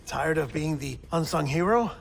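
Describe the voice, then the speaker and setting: A man's voice: a little high, expressive, moderate pace, creaky, background noise. An actor playing a talkative, fussy male doctor in a video game cutscene, explaining things at length. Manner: chatty, explanatory. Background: music.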